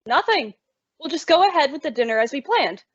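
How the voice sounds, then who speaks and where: A woman's voice: sits high, lively intonation, a little fast, dry recording. A woman playing a sensible young woman in a radio comedy, a wry and diplomatic peacemaker. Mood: practical. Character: sensible young working girl, exasperated peacemaker. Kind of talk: old-time radio comedy acting. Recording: dull lo-fi recording.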